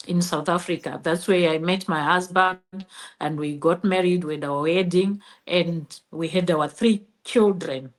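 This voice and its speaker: A middle-aged woman with a Zimbabwean accent, a native Shona speaker, telling her personal story as an interview guest. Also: fairly low pitch.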